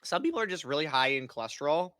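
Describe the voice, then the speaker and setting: A woman's voice: big pitch swings, a bit bright, no room sound. A woman voicing a goofy, people-eating mimic monster who rambles and stumbles over her words. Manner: rambling, halting, swooping pitch. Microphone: streaming microphone, voice changer.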